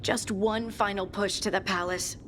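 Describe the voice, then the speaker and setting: A woman's voice: medium pitch, fairly even intonation, moderate pace, background noise, close to an everyday voice. An actress playing a loyal, determined female fighter in a fantasy game, sincere and plain-spoken. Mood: grateful, determined. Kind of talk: scripted fantasy game dialogue.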